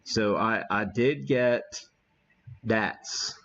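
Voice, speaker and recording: A man giving a casual live demo as an amateur radio streamer, talking haltingly as he thinks aloud while working the gear. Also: fairly low pitch, gravelly, fairly bright tone, highs cut off.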